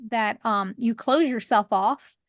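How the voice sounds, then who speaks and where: A woman with a higher voice, co-hosting a podcast and talking openly in a rambling, candid way. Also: slow pace, dry recording, studio quality. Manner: rambling, restarts, laughs often. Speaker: podcast co-host. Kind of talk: candid conversational chat.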